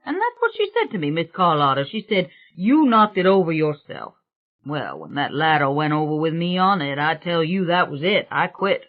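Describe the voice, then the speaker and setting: A woman's voice: deep voice, sing-song, normal speed, muffled recording. A woman voicing a rattled, aggrieved working-class male painter in an audiobook, talking in an emphatic, colloquial way.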